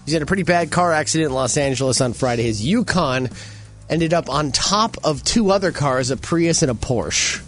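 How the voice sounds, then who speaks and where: A man's voice: a little high, soft background music. A man with a nasal Chicago accent hosting a morning radio show, bantering and joking with his co-host. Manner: animated, jokey, quick to laugh.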